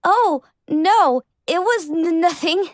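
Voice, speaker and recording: A woman's voice: extremely high pitch, animated, takes it slowly, no room sound, studio quality, voice pushed up, full character voice. A woman voicing an earnest, easily flustered young pony mare who works as an apprentice technician.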